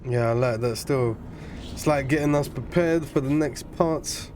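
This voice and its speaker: A man's voice: medium pitch, a little slow, a little mellow, a little hiss or hum. A man giving casual reactions while watching video game cutscenes.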